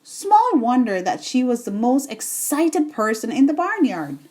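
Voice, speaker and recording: A woman reading a children's bedtime story in an expressive, deliberate storytelling manner. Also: a little high.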